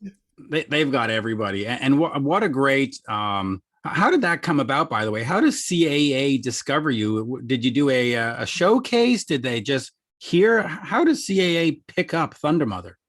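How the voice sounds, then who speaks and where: A man's voice: mid-range voice, sing-song, moderate pace, a bit bright. A middle-aged man interviewing a guest in a casual chat, stumbling and restarting as he asks questions. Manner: stammering restarts when asking questions. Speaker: interviewer, host.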